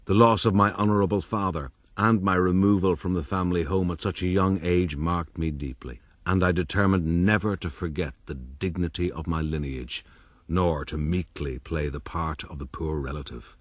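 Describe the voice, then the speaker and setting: An actor playing a pompous, wry Irish gentleman rogue narrating his life in a radio drama. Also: sits low, normal speed, no echo. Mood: self-satisfied.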